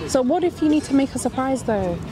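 A woman's voice: high-pitched, animated, brisk. A young woman interviewing people on the street, teasing and playful in her questions. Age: young adult. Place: outdoors on city streets. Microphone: handheld mic. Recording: street noise.

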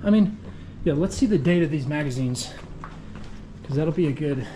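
A man exploring an abandoned building, giving low-key running commentary into a body-worn camera as he walks. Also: animated, moderate pace, mellow, slight background noise, low-quality recording. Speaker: urban explorer. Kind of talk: walkthrough narration while exploring. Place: outdoors then inside a large empty building. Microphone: body-worn action camera.

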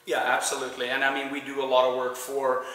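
A man in his forties from Sweden, a painting contractor with a Swedish accent, answering interview questions in a relaxed way with many filler sounds, recorded on a lapel mic in a large indoor warehouse. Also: mid-range voice, fast talker. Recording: very echoey warehouse with long reverb.

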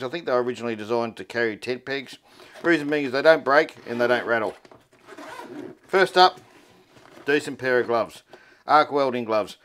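A man's voice: mid-range voice, a bit bright, smooth. Australian man giving an informal tutorial. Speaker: presenter of a four-wheel-drive repair tutorial. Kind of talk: informal how-to tutorial narration.

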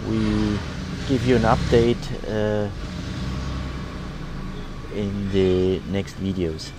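A man's voice: lowish voice, steady tone, extremely slow. An older man with a German accent casually explaining boat work, searching for words in English. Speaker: sailing vlogger.

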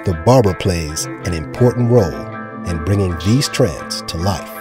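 Lowish male narrator over music. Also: animated, measured pace. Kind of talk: scripted documentary narration. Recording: music bed behind.

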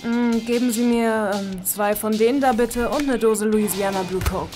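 An actress playing a composed, dry police officer in a horror radio drama, probing and matter-of-fact. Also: female voice, fairly high pitch, normal speed, a little mellow, faint music behind, lightly acted. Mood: casual.

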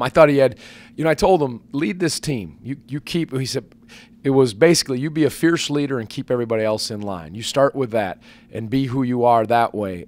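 Earnest coach at a press table. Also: male voice, mid-range voice. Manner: earnest, measured, many fillers and restarts. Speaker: basketball head coach. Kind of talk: postgame press conference answers. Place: press conference room with sponsor backdrop. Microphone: table microphone.

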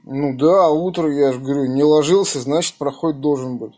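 Man, husky, slightly high pitch, casual slangy radio talk, call signs, phone recording indoors. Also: a little fast, darkish tone. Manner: colloquial, slangy. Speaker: amateur radio operator. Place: small room indoors beside radio equipment. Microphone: phone held near the radio.

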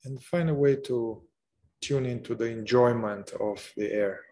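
A man leading a guided meditation, speaking gently in short phrases with long pauses between them. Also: medium pitch. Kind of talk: slow guided meditation narration.

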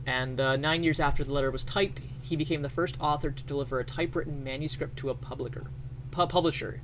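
A man's voice: on the high side, steady tone, fast talker, background noise. A man in his thirties giving casual, off-the-cuff commentary, often searching for his words. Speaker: streamer presenting a letter. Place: small home bedroom with bookshelves. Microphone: close boom-arm microphone.

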